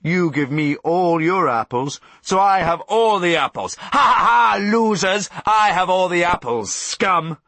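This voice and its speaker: A man acts out a greedy banker posing as a teacher for a comic audiobook. The voice is pushed high and swings widely, explaining with slow mock patience and gloating triumphantly at the class. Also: expressive, bright, forceful tone, no room sound, heavily acted. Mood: gloating taunting.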